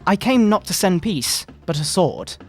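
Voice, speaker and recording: A man voicing a pompous, sanctimonious fundamentalist preacher parent in a mock-dramatic sermon, with theatrical, drawn-out emphasis. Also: high voice, very animated, husky, full character voice. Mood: fervent righteousness. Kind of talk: mock-dramatic reading of a moral-panic sermon. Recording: background video game music.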